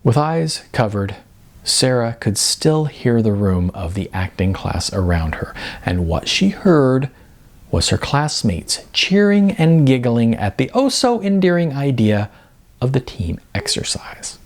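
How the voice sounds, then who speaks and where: A man's voice: medium pitch, sing-song, slow. A man narrating comic fiction expressively, with a wry, emphatic, sardonic delivery. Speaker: audiobook narrator.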